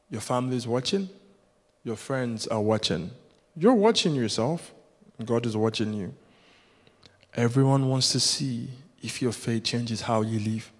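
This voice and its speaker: Man preaching in a reverberant church. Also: medium pitch. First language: Yoruba. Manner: earnest, rhythmic, builds with repetition. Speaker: guest preacher. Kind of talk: sermon. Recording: long hall reverb.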